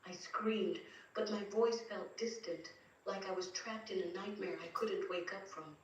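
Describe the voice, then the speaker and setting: A woman's voice: mid-range voice, steady tone, unhurried, dull audio, natural voice. A woman as a haunted, echoey, grieving wife. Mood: frightened. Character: terrified, grieving young wife recounting abuse from beyond death. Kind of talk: first-person dramatic story narration. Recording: distant, very echoey, faint noise.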